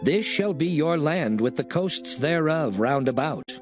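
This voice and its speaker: Declaiming scripture voice for divine commands, lively pitch over a music bed. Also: male voice. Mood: solemn. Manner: measured, declaiming. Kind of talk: dramatized scripture reading.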